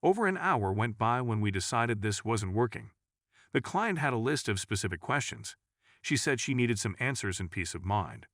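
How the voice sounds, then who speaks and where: A man with a low voice narrates a scripted paranormal story in the first person. His intonation moves widely up and down, and the sound is dry and close, with no room on it. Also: animated, normal speed, a bit bright, no echo.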